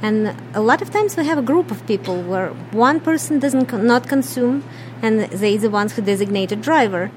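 A woman's voice: on the high side, animated, moderate pace, a little hiss or hum. A middle-aged woman from Ukraine with a Russian accent, answering interview questions in a plain, matter-of-fact way. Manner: plain, matter-of-fact, non-native grammar. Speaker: business co-owner.

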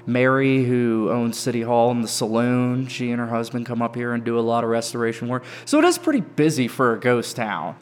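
An American man answering questions casually as an interview guest. His voice sits at an ordinary middle pitch. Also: animated, brisk, few pauses. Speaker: lodge master interviewed as a guest.

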